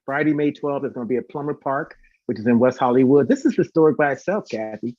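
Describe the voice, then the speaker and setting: A man's voice: mid-range voice, lively intonation, fast, warm tone, dry recording, muffled recording. A man chatting casually and informally as an interview guest, talkative and prone to repeating words for emphasis. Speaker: guest, singer and concert organizer.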